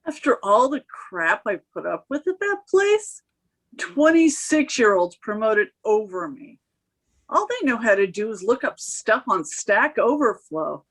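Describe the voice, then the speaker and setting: A woman's voice: fairly high pitch, sing-song, measured pace, full character voice, poor audio. A woman playing a feisty, fed-up office quitter in a screenplay table read. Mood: indignant. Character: feisty, fed-up woman who quit her tech job.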